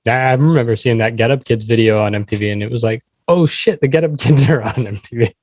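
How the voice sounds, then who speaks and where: A man's voice: mid-range voice, fast, a little mellow. A man hosting a podcast, reacting with short remarks and laughing along with his guest. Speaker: podcast host. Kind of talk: casual podcast conversation.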